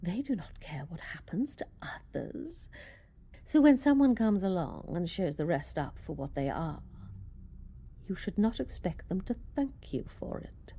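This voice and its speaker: A woman's voice: lowish voice, sing-song, measured pace, dark tone, husky, full character voice. An actress playing a cynical, scornful woman in a radio play, lecturing sternly and cuttingly. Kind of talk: radio play monologue acting.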